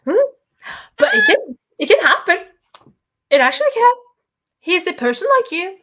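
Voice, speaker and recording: A young woman with a Norwegian accent hosting a casual livestream interview, bubbly and encouraging, quick to laugh. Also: extremely high pitch, huge pitch swings, pushed and bright. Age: young adult. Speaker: host.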